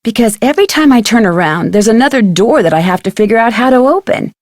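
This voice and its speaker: An actress playing an inquisitive, self-assured young female detective in an adventure game, speaking in a clear conversational way. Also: a little high, lively intonation, fast, darkish tone, no echo, studio quality, lightly acted. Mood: intrigued, chatty. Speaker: game protagonist and tutorial guide. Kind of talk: scripted adventure game dialogue and narration.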